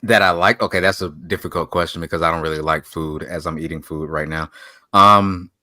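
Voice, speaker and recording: A man's voice: sits low, steady tone, fairly quick, bright, forceful tone, no room sound, studio quality. A man chatting as a guest on a livestream panel in a wry, offhand way. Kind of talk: casual chat.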